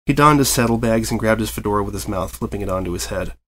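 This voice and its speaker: A man reading fan fiction aloud as an expressive storyteller, in scripted narration. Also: lowish voice, quick pace, a little hiss or hum.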